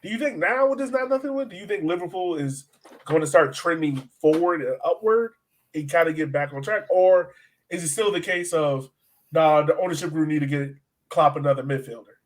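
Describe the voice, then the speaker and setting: Higher-pitched man hosting a sports podcast. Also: fairly quick. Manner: conversational, informal, poses long questions. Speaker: podcast host. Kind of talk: casual sports podcast chat.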